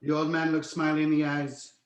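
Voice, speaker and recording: A male narrator reads scene directions from a screenplay. His voice is fairly high, and it comes with clear room echo. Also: monotone, fairly quick. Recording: roomy, echoey sound.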